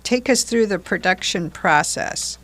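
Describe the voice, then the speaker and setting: Older woman, mid-range pitch, conversational, explanatory, question-posing. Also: lively intonation, a little slow, a bit bright. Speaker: community theater group member being interviewed. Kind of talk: conversational discussion.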